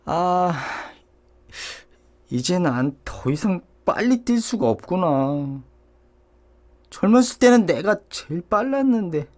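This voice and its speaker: A man's voice: sits high, sing-song, slow, pauses often, darkish tone, full character voice. A man voicing a proud racing zebra in a storybook, musing in a heartfelt way. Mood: dejected. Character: proud racing zebra, later aging and saddened.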